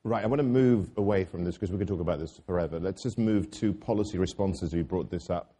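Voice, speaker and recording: Low-voiced, fast-talking male moderator. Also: dark tone, breathy edge. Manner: brisk, directing questions to panelists. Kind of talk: moderating a panel discussion.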